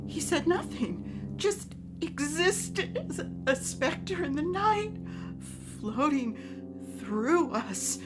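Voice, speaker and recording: An actress playing a terrified, shaken woman witness in a gothic audio drama, halting and tearful. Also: high-pitched, animated. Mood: frightened. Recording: music behind.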